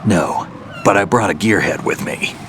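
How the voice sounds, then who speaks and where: A male actor voices a seasoned game soldier with a low voice. He is world-weary and wry, speaking in terse, casual lines. Clear outdoor and vehicle noise sits behind him. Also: moderate pace, close to an everyday voice. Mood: wry, calm. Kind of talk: dramatic video game voice acting.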